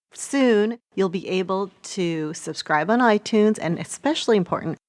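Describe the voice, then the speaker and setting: Slow-paced woman hosting a podcast. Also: on the low side. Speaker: podcast host. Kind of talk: conversational interviewing and sign-off.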